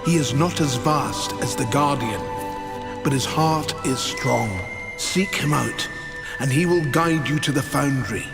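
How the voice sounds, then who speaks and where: Male actor as solemn elderly craftsman sage for a fantasy game, unhurried delivery, long reverb, music underneath. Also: medium pitch, lightly acted. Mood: solemn, explaining. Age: older. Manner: solemn, measured. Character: weary old male Maker, solemn mentor. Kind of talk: video game character acting.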